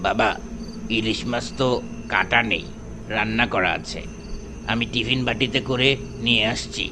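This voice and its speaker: A man's voice: fairly low pitch, moderate pace, natural voice. A man playing a kindly, concerned older village fishmonger in an audio horror story. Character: kindly older village fish-seller uncle, concerned, insistent. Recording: night ambience noise with crickets.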